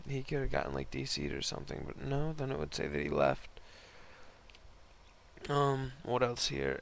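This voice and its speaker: A young man hosts casual game commentary in a hoarse voice with heavy creak and fry at a middling pitch. He rambles in a laid-back, slangy way as he talks through the battle. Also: a bit bright. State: hoarse, voice partly lost from concert the night before. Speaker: host narrating online game battles.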